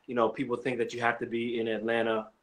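A young adult man from Kenya, an actor guest, telling his story in a relaxed, slangy way. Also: mid-range voice, quick pace. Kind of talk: casual interview chat.

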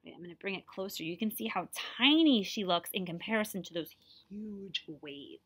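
A woman's voice: mid-range voice, lively intonation, fairly quick, slightly rough. A woman in her forties reading a picture book aloud expressively, breaking off to explain and check in with listeners. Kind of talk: animated storybook read-aloud with explanatory asides.

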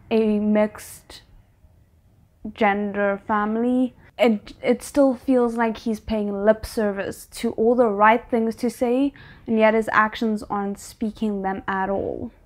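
A woman's voice: mid-range voice, darkish tone. A young adult woman giving casual reaction commentary, earnest and reflective, picking her phrases hesitantly as she goes. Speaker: anime reaction host. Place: small bedroom, indoors.

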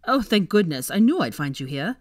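A gentle young man from a wizarding storybook, acted by a woman. He is earnest and a little hesitant, with lively intonation in a dry recording. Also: lowish voice, a little fast, dry recording. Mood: relieved, concerned. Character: gentle, awkward, apologetic young male wizard.

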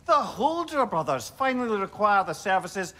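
An actor playing a witty, irritable severed head in a video game, with a Scottish accent and a cheeky, foul-mouthed manner. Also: male voice, high-pitched, very animated, normal speed, bright, forceful tone, heavily acted. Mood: wry. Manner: sardonic, cheeky, swearing freely.

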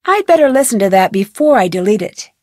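An actress playing a plucky, curious young detective who perkily talks to herself in a video game. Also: female voice, medium pitch, sing-song, a little fast, dark tone, no room sound, clean studio sound, natural voice. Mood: curious, musing. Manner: perky, crisp, self-talking. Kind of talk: video game character lines.

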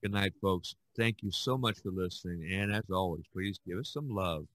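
An older man hosts a relaxed, conversational podcast. His voice sits fairly low and is recorded quite dry, and he wanders through his thoughts, circling back to repeat phrases. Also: normal speed, dry recording. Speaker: podcast host. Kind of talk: casual chat.

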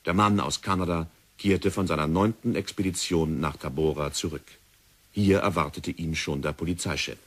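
A man narrating a vintage radio play in a steady, measured way. His voice is very deep and has a husky edge. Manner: measured storytelling. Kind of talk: scripted narration of a radio drama.

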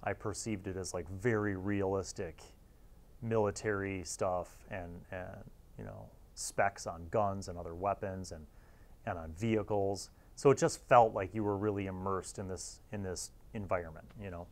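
A man's voice: deep voice, steady tone, normal speed. A man in his forties giving casual, chatty review commentary in an informal, jokey, slangy geek manner. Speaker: host of a magazine review series.

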